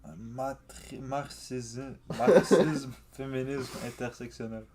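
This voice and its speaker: A man's voice: mid-range voice, a crowd in the background. A man with a Quebec French accent, making joking remarks as a stream co-host reacting to a video. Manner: chatty, joking, quick remarks. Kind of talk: casual reaction commentary. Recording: noisy background.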